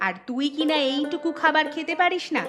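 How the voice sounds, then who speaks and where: Cartoon auntie acted by a woman, high voice, scornful, sharp nagging, mean-tempered mother, clear background music. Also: a little fast, close to an everyday voice. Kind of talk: cartoon story dialogue.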